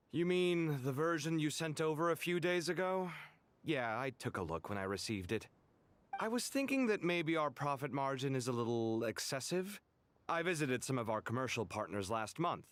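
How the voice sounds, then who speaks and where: A man voicing a principled, cultured young merchant lord in a video game, articulate and expressive. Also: on the high side, moderate pace, dry recording. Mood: businesslike.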